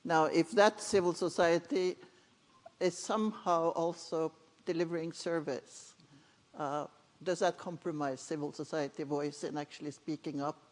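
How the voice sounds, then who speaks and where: A woman with a Norwegian accent moderating a conference panel, asking questions in a measured, hesitant way. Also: deep voice, fairly even intonation. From Norway. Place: large conference hall. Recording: reverberant conference hall.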